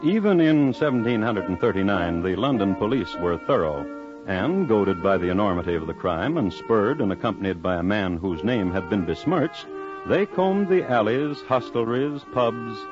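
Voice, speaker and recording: A man's voice: lowish voice, expressive, moderate pace. A man narrating an old-time radio crime drama as a wry, formal storyteller. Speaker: narrator and host. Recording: dull lo-fi old radio recording. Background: music.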